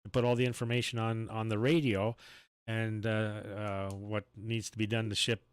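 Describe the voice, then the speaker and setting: Low, clear-voiced man, very dry sound. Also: flat delivery, normal speed, no room sound. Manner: rambling, searches for words. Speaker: amateur radio operator. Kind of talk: casual on-air radio chat.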